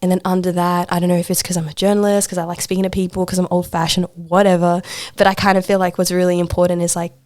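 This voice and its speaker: A woman's voice: lowish voice, flat delivery, fast talker, a little mellow, no echo. A woman chatting casually as an interview guest, informal and emphatic, repeating phrases for stress. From Australia. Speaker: podcast guest, poet and social worker.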